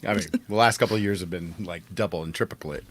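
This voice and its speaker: A man's voice: sits low, fast. A man co-hosting a podcast, trading casual banter in an informal way. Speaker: podcast co-host.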